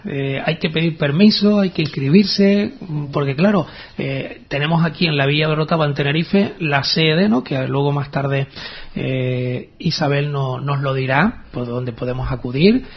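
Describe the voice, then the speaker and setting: A radio host talks in a chatty way, often restarting and checking in with "no?". His voice is husky and sits a little high for a man. The audio sounds dull and lo-fi, like a radio broadcast. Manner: chatty, with many restarts and tag questions. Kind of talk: radio interview.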